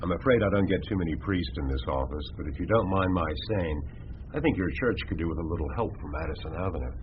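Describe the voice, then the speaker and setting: On a dull, faintly noisy radio drama recording, a low-voiced advertising magnate holds court. He sounds self-assured and urbane, courteous while giving nothing away. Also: lively intonation, fast. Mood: affable, brisk. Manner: urbane, politely evasive. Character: smooth, self-assured male New York advertising big shot.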